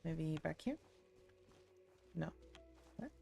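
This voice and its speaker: A woman's voice: sits low, lively intonation, very slow, gravelly. A woman streaming a video game, giving casual, laid-back commentary and reading game text aloud. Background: soft game music.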